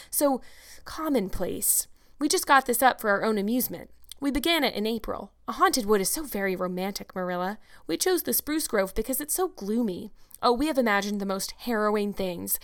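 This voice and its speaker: A woman's voice: mid-range voice, close to an everyday voice. A woman voicing an eager, imaginative young girl in a storybook, talking in an effusive, dramatic way. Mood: dramatic, fearful.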